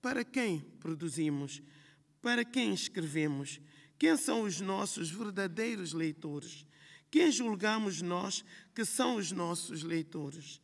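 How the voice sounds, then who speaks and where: A woman from Guinea-Bissau with a Guinea-Bissau Portuguese accent, a writer reading a measured, reflective prepared speech on a festival panel. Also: lowish voice, lively intonation, creaky. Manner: measured, reflective, listing phrases in rhythm. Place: large hall at a literary festival. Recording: long hall reverb.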